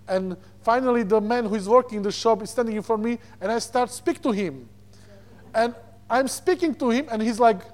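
A man from Slovakia with a Slovak accent preaches informally through personal stories, searching for words in his second language. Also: high voice. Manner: halting, searching for words in non-native English. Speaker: visiting preacher.

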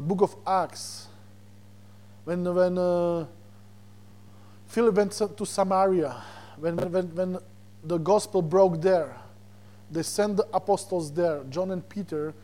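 A man from Slovakia with a Slovak accent, preaching a sermon as a guest preacher, animated and repeating words as he searches for them. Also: high voice, slow pace, long pauses. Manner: animated, repeats words when searching, chuckles between points.

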